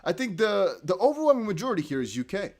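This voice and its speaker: A young man with a Dutch accent, hosting a crypto livestream in casual chat, reading viewer comments aloud. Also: high voice. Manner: chatty, animated, reads viewer comments aloud with quick laughs.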